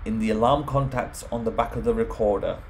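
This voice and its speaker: A man's voice: lowish voice, a little fast, creaky, a little mellow, a little hiss or hum. A man presenting an informal home automation tutorial, explaining things step by step. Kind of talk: informal software screen walkthrough.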